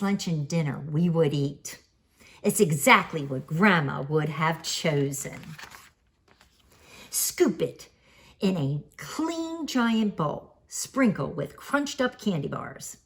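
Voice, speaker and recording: An older woman reading a children's storybook aloud in a theatrical, sing-song way with emphatic questions and answers. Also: on the low side. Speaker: author reading her picture book aloud.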